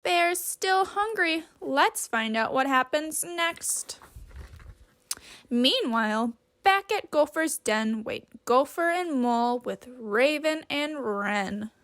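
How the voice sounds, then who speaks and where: A woman reading a storybook aloud in a deliberate, instructive teacher's manner, pausing to ask questions. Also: high voice, expressive, a bit bright.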